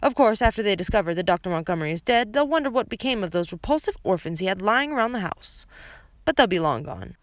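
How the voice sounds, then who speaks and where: A woman's voice: medium pitch, very animated, quick pace, no room sound, natural voice. A woman reading an audiobook, voicing a scheming, menacing adult male villain who mocks and sneers theatrically. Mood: sneering.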